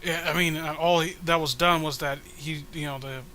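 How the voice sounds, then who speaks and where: A man with a high, sharp-edged voice riffs casually as he co-hosts a read-along. He rants in exasperation, swearing and halting as he goes. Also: steady tone. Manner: exasperated ranting, profane, halting. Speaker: co-host of a comic read-along. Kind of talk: casual comedic riffing and commentary.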